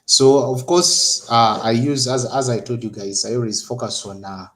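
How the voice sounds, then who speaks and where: Man, trader, relays questions, mid-pitched. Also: fairly even intonation, moderate pace, fairly bright tone, a little hiss or hum. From Uganda. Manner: brief, relays questions with short acknowledgements.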